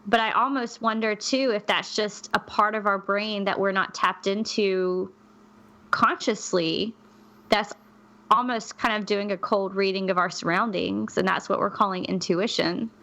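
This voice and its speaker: A woman's voice: mid-range voice, steady tone, a little slow, sharp tone. A woman co-hosting a podcast in casual chat, skeptical and thinking aloud with frequent restarts. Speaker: podcast co-host.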